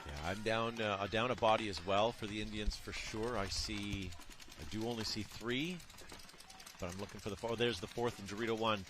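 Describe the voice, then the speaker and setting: A man's voice: on the low side, fairly even intonation, normal speed, noisy background. A man commenting live on a paintball match from a booth, chatty and joking with his co-host.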